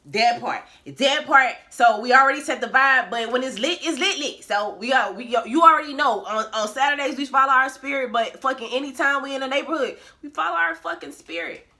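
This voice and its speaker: A woman's voice: high voice, a little fast, bright, forceful tone. A woman hosting a casual vlog, talking in a loose, profane, playful way. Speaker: vlog host.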